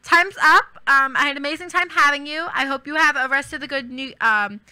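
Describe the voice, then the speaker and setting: A woman's voice: high voice, fairly quick, sharp tone, no echo. A woman in her twenties hosting a radio interview in a studio, enthusiastic and giggly with many fillers. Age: 20s. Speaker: radio host. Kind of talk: casual interview chat.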